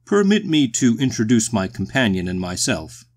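A man voicing a big, polite, pompous, slightly tipsy gentleman walrus in a storybook, with a booming, hesitant delivery. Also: big pitch swings, moderate pace. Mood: genial, formal. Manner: pompous, polite, hesitant, old-fashioned British phrasing. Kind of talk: acted storybook dialogue.

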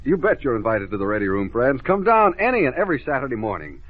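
An American man delivers brisk, inviting announcer patter for a vintage children's radio show. He talks quickly with a bouncy, animated pitch. The old recording is muffled and narrow as a telephone. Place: broadcast studio. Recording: muffled, band-limited 1950s radio recording.

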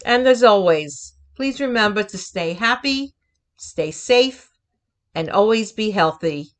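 Middle-aged woman reading aloud, slow and expressive. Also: medium pitch. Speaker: children's book reader and channel host. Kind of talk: read-aloud storybook narration. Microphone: phone camera nearby. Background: music.

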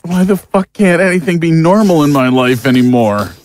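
A man's voice: fairly high pitch, animated, normal speed, darkish tone, close to an everyday voice. Actor as exasperated, weary man, wide-swinging voice. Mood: horrified, exasperated. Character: exhausted, angry foul-mouthed man fed up with monsters. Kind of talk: audio drama acting.

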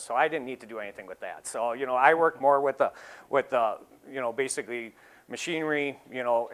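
A middle-aged dairy farmer giving a casual talk in a large exhibition hall, easygoing and full of "you know" fillers. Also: male voice, medium pitch, fairly bright tone. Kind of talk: casual talk to a seated audience.

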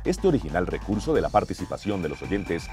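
A man's voice: sits low, sing-song, a little fast. A Colombian man playing a pompous, ominous narrator of a detective radio serial, close on a studio mic. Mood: ominous, grand. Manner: pompous, suspenseful. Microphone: close studio broadcast mic. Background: music.

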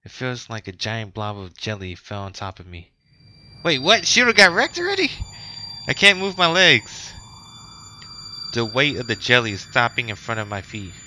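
A man's voice: mid-range voice, sharp tone, a little hiss or hum, low-quality recording. A man streaming a game, reading its text aloud and reacting casually. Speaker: streamer playing a visual novel. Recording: game music behind the voice. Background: game music.

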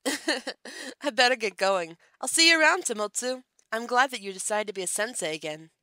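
Woman as gentle young female teacher, higher pitch, close dry sound, anime drama. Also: lively intonation, moderate pace, dry recording. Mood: fond. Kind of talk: amateur anime-style drama acting.

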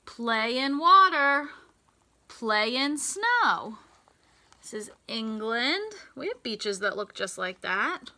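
An American woman reading a picture book aloud to young children, in a deliberate, sing-song teacher's delivery with emphasized words. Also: a little high, big pitch swings, pushed and bright. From United States. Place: small indoor room with racks of hanging clothes.

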